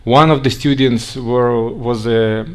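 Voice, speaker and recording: A man with a Russian accent, raised in Siberia, giving a conference talk in a halting way with frequent restarts. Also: medium pitch, steady tone, clean tone. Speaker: guest speaker.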